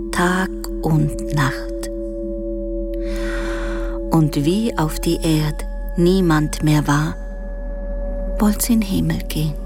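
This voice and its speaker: An actress playing a woman who tells a desolate fairy tale in a radio play, halting and at times whispering. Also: on the low side, creaky, heavily acted. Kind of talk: slow storytelling in a radio play. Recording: music behind the voice.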